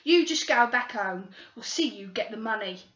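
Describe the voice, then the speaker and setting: A woman voicing a gruff middle-aged male detective who speaks in blunt, impatient commands. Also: a little high, expressive, moderate pace, fairly bright tone. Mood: brusque. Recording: roomy, slightly dull recording.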